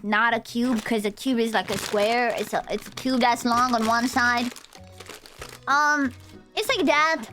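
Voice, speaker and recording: Young streamer, Romanian accent, high voice, rambling and goofy, faint music behind. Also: bright, forceful tone. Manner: rambling, goofy, playful, joking with chat. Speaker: VTuber streamer. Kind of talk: casual live-stream chat.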